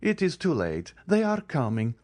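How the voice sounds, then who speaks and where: A man's voice: wildly swooping pitch, moderate pace, darkish tone. A man voicing two frightened young women who cry for help and then answer coldly. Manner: shrill cries, then cold.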